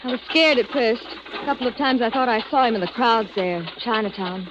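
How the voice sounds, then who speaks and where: A woman's voice: on the high side, fairly quick, background noise, lightly acted. An actress in a 1940s radio drama playing an anxious, fatalistic young woman in love, wistful and melodramatic. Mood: wistful, uneasy. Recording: dull 1940s radio, music and crowd behind.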